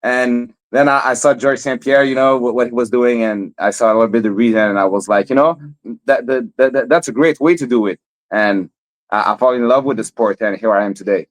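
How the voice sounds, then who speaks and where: A man's voice: mid-range voice, a little fast. Stammering young man, casual talk. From Montreal, Canada. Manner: stammers and repeats words often. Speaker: MMA fighter guest.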